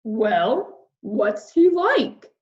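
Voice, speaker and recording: A woman acts out a huge, kindly storybook whale, eager to help. The words are stretched very slowly and emphatically, fairly high in pitch, in an echoey room. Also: big pitch swings, darkish tone, exaggerated voice. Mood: helpful, confident. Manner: drawn-out, booming emphatic delivery. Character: large, friendly, helpful male blue whale. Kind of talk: children's storybook character voice. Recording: roomy echo, slightly dull sound.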